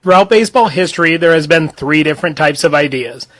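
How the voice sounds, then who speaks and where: A man's voice: fairly high pitch, fast talker, a bit bright. A man narrating a scripted piece of sports history.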